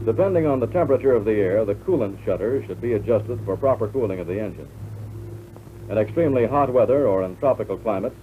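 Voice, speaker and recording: An American man reading scripted instructional narration for a 1940s military training film in a measured, instructive way. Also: lowish voice. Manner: measured, instructive, addressing the listener as pilot. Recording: tinny band-limited 1940s film soundtrack, loud noise.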